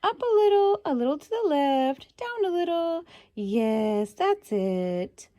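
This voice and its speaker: A high, swooping storybook pig voiced by a woman reading aloud, playful and full of expression. It is a contented, well-mannered creature that can also get bossy, speaking in a close, dry sound. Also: very animated, slow, dark tone, dry recording, heavily acted. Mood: pleading, content. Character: talking pig, contented, polite, sometimes bossy. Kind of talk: children's storybook read-aloud.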